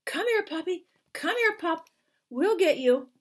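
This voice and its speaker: A woman's voice: extremely high pitch, animated, unhurried, pitched above the performer's own voice. A woman voicing earnest, eager male crewmen in a children's storybook, in an exclamatory way. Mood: coaxing.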